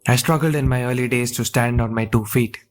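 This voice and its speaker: A man as reflective student narrator, medium pitch. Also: fairly even intonation, fairly quick, close to an everyday voice. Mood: earnest, hopeful. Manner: solemn, reflective. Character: earnest, reflective male student recounting his struggles. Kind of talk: scripted first-person narration. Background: faint music.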